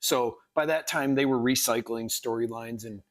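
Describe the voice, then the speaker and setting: Man, medium pitch, casual streamer, offhand riffing, muttered working asides. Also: moderate pace. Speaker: artist, live stream host.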